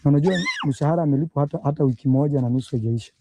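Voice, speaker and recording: Quick questioning man in a noisy recorded chat. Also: fairly high pitch. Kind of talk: casual conversation.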